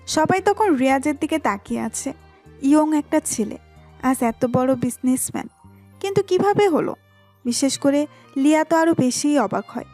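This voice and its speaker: High-pitched woman narrating a story. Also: mellow. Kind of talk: scripted narration of a romantic audio story.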